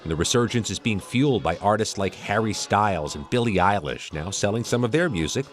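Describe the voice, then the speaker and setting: A man's voice: lowish voice, animated, a little fast. An American man narrating a scripted television news feature as a correspondent. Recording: music bed under voice. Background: music.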